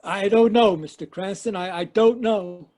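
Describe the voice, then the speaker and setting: A man's voice: high-pitched, moderate pace, a little mellow, close to an everyday voice. An actor playing a timid, stammering old eccentric father in an amateur radio drama over a video call. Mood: nervous. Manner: stammering, hesitant.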